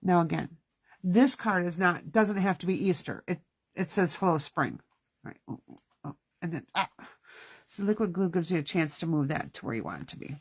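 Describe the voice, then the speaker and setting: A woman's voice: on the low side, lively intonation, normal speed, slightly rough, no room sound. A woman hosting a casual hands-on card-making class, talking to herself as she works, her nose stuffy. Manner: chatty, self-talking while working. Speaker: craft class host. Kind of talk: casual hands-on craft demonstration.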